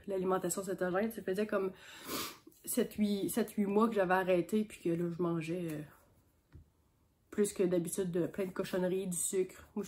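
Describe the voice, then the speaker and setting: Québécois woman vlogger, has a cold, stuffy and unhurried, rambling show-and-tell. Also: lowish voice, dark tone. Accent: Québécois French. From Quebec. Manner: chatty, meandering, homespun. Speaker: knitting vlogger on a sheep farm. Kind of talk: casual show-and-tell chat.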